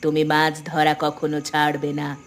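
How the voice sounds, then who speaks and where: A woman voicing the calm, demanding ghost of a drowned wife in a cartoon, measured and eerie. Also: deep voice, creaky. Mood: calm, commanding. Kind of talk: Bengali cartoon horror voice acting.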